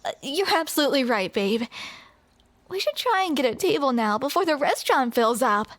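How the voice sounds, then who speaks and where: A woman's voice: sits high, sing-song, fairly quick, fairly bright tone, no room sound. A young woman performing a scripted romantic comedy audio roleplay, flustered and playful, often breaking into awkward laughs.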